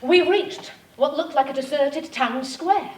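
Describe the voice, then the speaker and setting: A woman's voice: high-pitched, a little slow. A woman playing a polite, earnest, admiring film actress in a live comic radio parody. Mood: solemn narration. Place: theatre stage. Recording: echoey stage, distant mic, faint noise.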